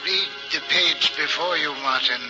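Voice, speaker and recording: Over music on a muffled old radio recording, a man voices a heavenly being in a raised, slow voice. The delivery is solemn and intoned, in vintage radio play style. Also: on the high side, lightly acted. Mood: solemn calling. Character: solemn heavenly voice calling to a shoemaker. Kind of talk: 1940s radio drama acting.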